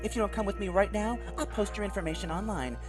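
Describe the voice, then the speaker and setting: A performer voicing a menacing female Shiba Inu who coaxes and threatens in an insinuating, deliberate way. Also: mid-range voice, music in the background, voice pushed up, lightly acted. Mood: coolly threatening.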